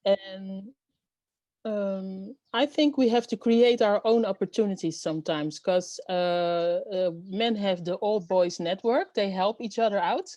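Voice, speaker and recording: A middle-aged woman from the Netherlands, answering interview questions earnestly with many pauses and fillers. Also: mid-range voice, warm tone, no room sound. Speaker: guest, storyteller and event host.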